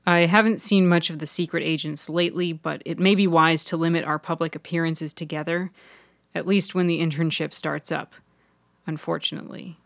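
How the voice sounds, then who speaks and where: A woman voicing a reserved, cautious man in a fiction podcast, measured and understated. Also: low-pitched, steady tone, creaky, no room sound. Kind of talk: audiobook character voice.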